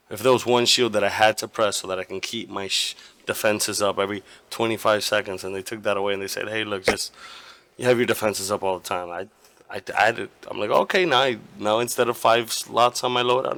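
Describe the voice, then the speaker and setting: Hoarse low-voiced man, gaming vlog. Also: pushed and bright. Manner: rambling, with many restarts and casual swearing. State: hoarse, partly lost voice. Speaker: gaming channel host. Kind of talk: casual opinion commentary.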